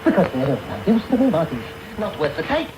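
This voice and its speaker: A crafty old man wheedles in a high voice that swoops up and down. He is an oily, calculating gang master from a radio play, caught on a hissy, noisy broadcast recording. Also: big pitch swings, quick pace. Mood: scheming, gloating. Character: sly, scheming old male gang master of thieves. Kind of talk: radio drama acting. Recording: noisy medium-wave radio broadcast tape.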